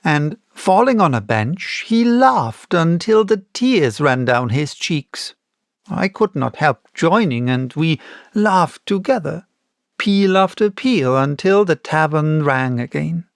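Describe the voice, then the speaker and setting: Male audiobook reader, unhurried literary narration, creaky edge, dry close sound. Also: fairly high pitch, expressive, no echo.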